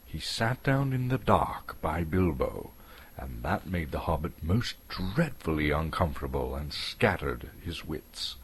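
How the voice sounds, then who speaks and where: A man's voice: a bass voice, sing-song, a little slow, slightly rough. A man from Canada giving a dramatic storybook narration as the narrator.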